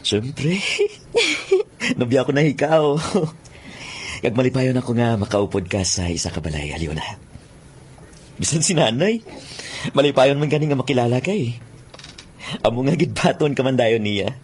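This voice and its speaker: Lovestruck young son for radio drama, played by a man: Hiligaynon Filipino accent, anxious, emotional, widely varied pitch. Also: very animated, normal speed, pauses often, darkish tone, a little hiss or hum, lightly acted. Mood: tender, happy. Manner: stammering, emotional.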